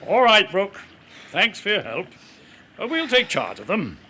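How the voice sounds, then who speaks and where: Sharp, barking English police commander. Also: male voice, sits high, huge pitch swings, measured pace, slight background noise, exaggerated voice. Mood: barking, satisfied. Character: brisk, smug senior police commander. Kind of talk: British radio drama acting.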